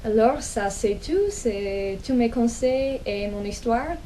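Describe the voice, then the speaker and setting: American woman, young adult, non-native, slow hesitant delivery, mellow tone, faint background hiss. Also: a little high, low-quality recording. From United States. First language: English. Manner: slow, hesitant, many pauses and restarts. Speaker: language vlogger. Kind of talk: casual personal storytelling in learned French.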